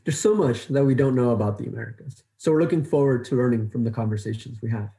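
A young man in his twenties co-hosts a podcast, speaking in an earnest, measured way. His voice is warm and dark in tone, and it is recorded in a noticeably echoey room. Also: medium pitch. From Ecuador, raised in Los Angeles. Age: 20s. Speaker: podcast co-host. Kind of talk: scripted intro then casual chat.

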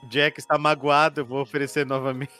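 A man's voice: medium pitch, level tone, brisk, bright, forceful tone. Male tabletop player, playful descriptive improvised narration over a music bed. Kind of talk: improvised narration of a roleplay game.

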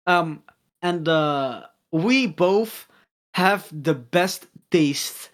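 A teenage boy co-hosting a podcast over a video call, excitable, swearing and restarting his sentences. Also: fairly high pitch, extremely slow. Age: teens. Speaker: podcast co-host. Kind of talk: casual chat.

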